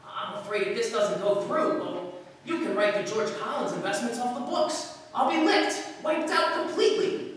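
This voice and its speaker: A performer acts an earnest, agitated businessman in an old radio play, pleading and insistent. The voice swoops in pitch and comes from far off in a very echoey hall. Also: lively intonation, normal speed, natural voice. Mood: desperate, pleading. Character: earnest, agitated male colleague in a business drama. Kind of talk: 1940s radio acting. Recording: distant echoey hall, faint noise.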